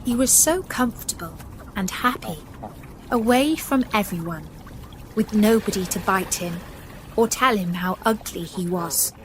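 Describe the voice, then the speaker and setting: A woman narrating a scripted children's story in a measured, expressive manner. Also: medium pitch, a little hiss or hum.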